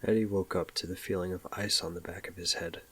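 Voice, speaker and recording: A man's voice: low-pitched, level tone, fairly quick, fairly bright tone, slightly rough. A man narrating a scripted audio drama, with ambient noise behind him.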